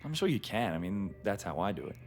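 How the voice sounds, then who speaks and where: A carefree male traveller in a naturalistic podcast drama. His delivery is lively and swooping, casual and confident with an easy boastfulness, over faint music. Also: on the low side, quick pace. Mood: easygoing. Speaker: supporting actor in a fiction podcast. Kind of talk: naturalistic audio drama dialogue.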